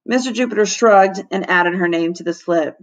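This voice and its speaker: A middle-aged woman reading a children's storybook aloud like a teacher, with expressive, animated delivery. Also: mid-range voice, normal speed, muffled recording. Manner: animated, acting out dialogue between narration.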